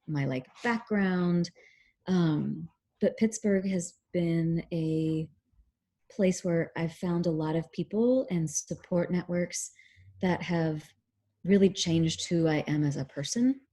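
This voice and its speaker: A woman in her thirties from Oklahoma, a physician talking casually as a guest on a video call, relaxed and chatty with many fillers. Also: deep voice, husky. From Oklahoma, USA.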